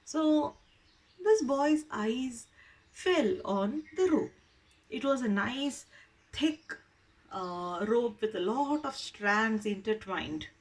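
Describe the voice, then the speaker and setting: A woman tells a story in an informal, spoken style. Her high voice moves through lively rises and falls at a slow pace, with repeated phrases for emphasis. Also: rough recording.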